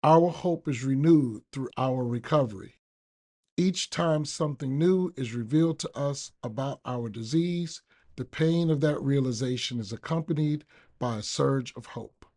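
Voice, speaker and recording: A man reading a daily reflection aloud for a recovery podcast, with measured, deliberate phrasing. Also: mid-range voice, a little mellow. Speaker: guest reader on a recovery podcast.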